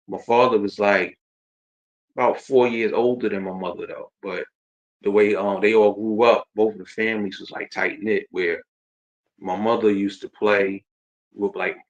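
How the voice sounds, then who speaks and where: A man of about forty with a New Jersey accent, chatting casually and informally as he hosts a livestream, rambling and repeating himself. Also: fairly low pitch. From Jersey City, New Jersey. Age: around 40. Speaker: host. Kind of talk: casual autobiographical livestream chat. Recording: dull, lo-fi stream audio.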